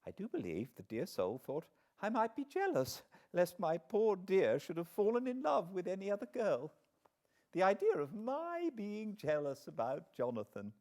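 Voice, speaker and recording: Guy doing a young victorian lady pouring her heart out in a letter, high voice, very earnest, audiobook. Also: huge pitch swings, normal speed, warm tone, exaggerated voice. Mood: fond amusement.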